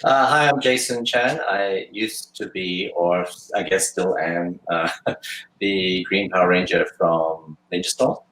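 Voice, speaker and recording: A man, answering interview questions thoughtfully with frequent pauses and restarts. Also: sits low, a bit bright, reverberant, a little hiss or hum, low-quality recording. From Australia. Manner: thoughtful, hesitant, many fillers and restarts. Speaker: guest actor and filmmaker.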